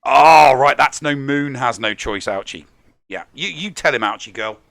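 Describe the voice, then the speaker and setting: Gravelly bright-voiced male podcast host. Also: mid-range voice, normal speed, no room sound. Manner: jokey, laughs often, repeats words when excited. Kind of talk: casual live-stream chat.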